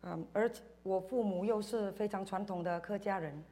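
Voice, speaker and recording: A woman from Indonesia giving a personal church testimony in short phrases, pausing for an interpreter. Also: mid-range voice, mellow. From Indonesia, schooled in Singapore. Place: church service, speaking to congregation.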